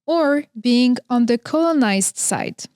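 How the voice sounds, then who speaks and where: A woman in her thirties from Morocco, hosting a podcast and telling her own story in a slow, reflective, earnest monologue. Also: a little high, lively intonation, a little mellow, clean tone, dry recording, studio quality. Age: 30s. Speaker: podcast host. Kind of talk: scripted personal monologue.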